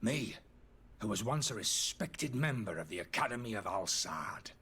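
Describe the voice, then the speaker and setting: An actor playing a disgraced, indignant alchemist in a fantasy video game, pleading and justifying himself theatrically in a slightly husky voice. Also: male voice, on the low side, very animated, moderate pace, sharp tone, heavily acted. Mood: bitter, exasperated. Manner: agitated, defensive, theatrical delivery.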